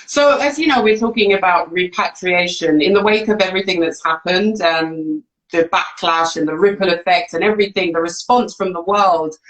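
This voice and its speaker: A woman's voice: lowish voice, normal speed, few pauses, echoey room, rough recording. A woman from England hosting a live chat show and framing her questions thoughtfully, with some stumbles and restarts. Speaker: host. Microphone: video call.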